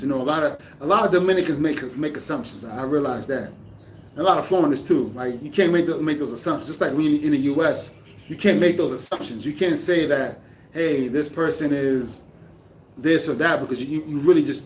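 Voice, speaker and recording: A man's voice: fairly high pitch, brisk. A man vlogging in a casual, rambling way, often restarting sentences as he thinks aloud. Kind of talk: casual talk to camera. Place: living in the Dominican Republic. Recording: echoey room from a distance, faint ambience.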